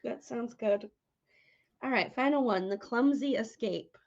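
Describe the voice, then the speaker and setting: A woman's voice: a little high, measured pace, vocal fry, darkish tone, muffled recording. A woman speaking informally as an interviewer in a casual, opinionated chat. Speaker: podcast interviewer. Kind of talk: casual chat about horror tropes.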